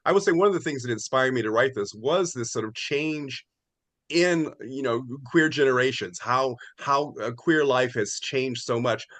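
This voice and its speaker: Older man, halting storyteller, dry close sound. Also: medium pitch, fairly bright tone, no room sound. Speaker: playwright being interviewed. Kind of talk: casual interview talk.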